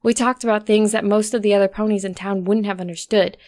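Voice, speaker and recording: A woman reading scripted fiction aloud as an expressive story narrator. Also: mid-range voice, fast talker.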